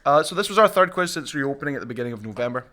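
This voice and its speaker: A man's voice: medium pitch, lively intonation, racing, bright, forceful tone. A young man hosting a chatty podcast among colleagues. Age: young adult. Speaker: podcast host, shop staff.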